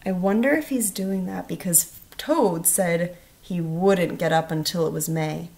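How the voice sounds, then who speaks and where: A woman teacher reading a storybook aloud to children, expressive and instructional, asking questions as she goes. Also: on the low side, creaky. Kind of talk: storybook read-aloud with questions to young listeners.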